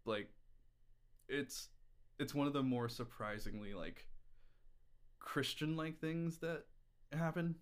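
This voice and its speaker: A man's voice: a little high, lively intonation, slow pace, pauses often, smooth. A man chatting casually as a podcast guest, telling personal stories in a candid, irreverent, rambling way. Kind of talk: casual conversational storytelling.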